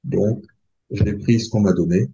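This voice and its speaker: A man in his forties, from the Congo, talks in a calm, thoughtful way and often pauses to hesitate. His voice is low and dark. The podcast sound is a little muffled. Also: creaky. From Republic of the Congo. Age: 40s. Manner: measured, thoughtful, with frequent hesitations. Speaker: guest. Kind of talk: reflective interview talk.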